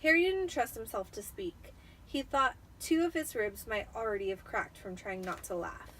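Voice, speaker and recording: A woman with a fairly high voice reads a story aloud with dramatic flair. She sounds tipsy, and there is faint noise behind her. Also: normal speed, far from the mic, rough recording. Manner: theatrical, expressive. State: tipsy from wine. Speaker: amateur storybook reader.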